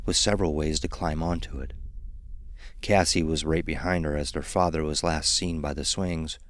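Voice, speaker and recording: A man narrating a scripted horror story. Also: low voice.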